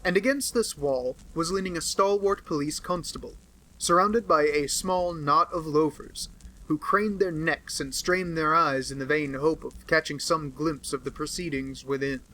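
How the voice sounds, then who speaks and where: A man with a slightly high voice reads a classic novel aloud. He tells the story in a measured way. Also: pushed and bright, rough recording. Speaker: audiobook reader.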